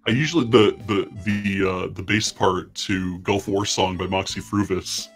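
A middle-aged man chatting casually as a livestream host, his voice run through a modulator that pitches it down. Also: moderate pace, breathy edge, faint music behind. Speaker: charity stream host. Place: indoor room, livestream from a seat.

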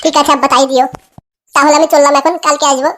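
A woman plays a feckless young village fellow in a comic cartoon. The voice is high and whiny, insistent and extremely quick, with faint noise behind. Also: fairly even intonation, a bit bright. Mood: pleading. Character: pleading, feckless young village man, eager schemer.

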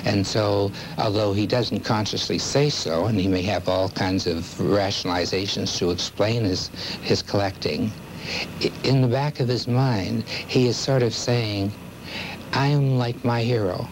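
A middle-aged man with a fairly low voice gives a measured, formal expert explanation. He is recorded on old 1970s television videotape with a light layer of noise. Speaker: psychiatrist interviewed as expert. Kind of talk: expert interview. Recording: hissy, degraded 1970s videotape.

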